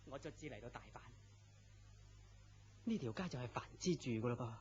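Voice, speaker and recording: A man's voice: medium pitch, expressive, a little fast, darkish tone, a little hiss or hum, lightly acted. A man with a Hong Kong Cantonese accent playing a weary, melancholy, lovesick young man in a radio drama, earnest and plaintive. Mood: quiet, anticipating. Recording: dull lo-fi radio drama audio.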